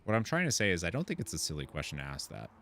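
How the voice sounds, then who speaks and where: A man's voice: low-pitched, huge pitch swings, rapid-fire, creaky, fairly bright tone. A man doing casual game commentary, thinking aloud and reading quest text out. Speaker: gaming content creator. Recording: faint game music behind. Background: game music and sounds.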